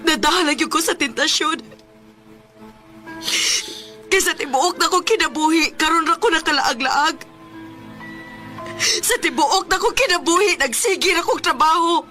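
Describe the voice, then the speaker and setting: A woman's voice: very high voice, unhurried, pauses often, sharp tone, soft background music, exaggerated voice. An actress playing a remorseful, middle-aged married woman in an emotional, pleading radio drama style. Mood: tearful pleading.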